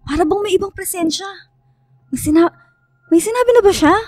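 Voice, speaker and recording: A woman's voice: very high voice, a little fast, a little mellow, no echo. An actress playing a frightened young woman, the hero's questioning friend, pleading anxiously in a radio drama.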